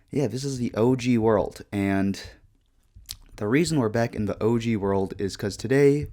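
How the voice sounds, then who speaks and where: Deadpan, low-voiced man in his twenties. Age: 20s. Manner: deadpan monotone with many fillers. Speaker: YouTuber. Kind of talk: reflective casual talk over gameplay.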